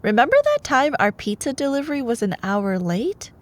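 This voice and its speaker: A woman in an ASMR bedtime storytelling style, expressive and playful, like a girlfriend reading aloud. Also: fairly high pitch, normal speed.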